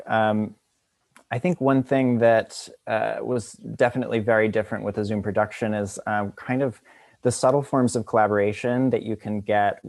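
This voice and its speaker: A young man talks with heavy vocal fry and little movement in pitch. He explains things hesitantly and in a measured way on a video call panel. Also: darkish tone. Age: young adult. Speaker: student costume designer.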